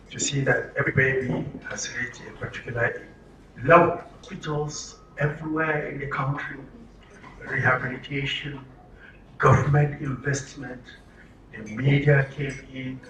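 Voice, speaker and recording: A man's voice: medium pitch, fairly even intonation, moderate pace, bright, forceful tone. A man with a Nigerian accent giving an interactive public talk, emphatically posing questions and answering them as a presenter. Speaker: presenter at a health orientation for media. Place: room with an audience. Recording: roomy, distant mic, faint noise.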